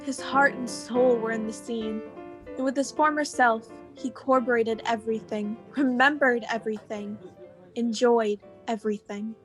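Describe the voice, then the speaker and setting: A woman's voice: on the high side, steady tone, normal speed, faint music behind, close to an everyday voice. A teenage girl giving scripted storybook narration for a radio play. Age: teens.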